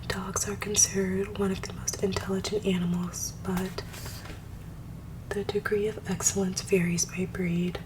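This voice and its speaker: A woman whispering soft-spoken ASMR at home, with low tones and a slight throat scratch, talking quickly and stumbling over hard words. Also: flat delivery, distant mic, noisy background. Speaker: ASMR creator.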